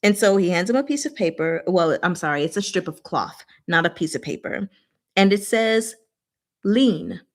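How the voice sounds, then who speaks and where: A woman's voice: fairly low pitch, animated, normal speed. A woman hosting a casual book discussion, explaining things informally with playful, rambling asides.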